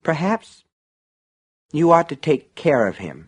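A man's voice: big pitch swings, unhurried, slightly rough, heavily acted. A man voicing a devilish shopkeeper in an audiobook, a soothing tempter who is pleasant, persuasive and can turn harsh. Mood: soothing, coaxing. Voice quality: low, soothing, turning harsh.

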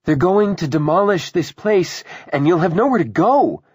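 Man voicing a fierce, high-pitched young ghost woman. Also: animated, moderate pace, slightly rough, dry recording, clean studio sound. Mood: angry, urgent. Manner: emphatic, pleading and taunting. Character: fierce, impassioned young dead woman urging others to leave.

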